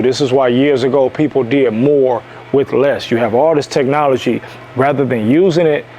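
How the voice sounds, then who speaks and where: A man from Detroit lectures his viewers in a self-help monologue, repeating key lines to drive them home. His voice sits at a middle pitch. A faint hum of background noise runs under it. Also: darkish tone. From Detroit, USA. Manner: emphatic, repeats lines for stress. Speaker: self-help video host. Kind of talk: preachy motivational monologue.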